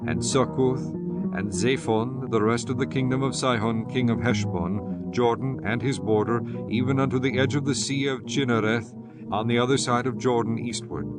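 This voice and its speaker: A man reading scripture as an audiobook narrator, in a measured, formal and solemn manner. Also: mid-range voice. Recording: music under the voice. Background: soft instrumental music.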